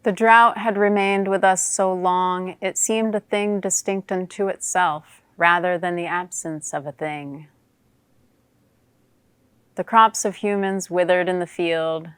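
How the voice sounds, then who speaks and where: A woman performing a dramatic monologue as a wry, watchful female newt, in measured theatrical storytelling. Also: on the low side, steady tone, fairly bright tone, clear, resonant tone, no echo. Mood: somber, wry.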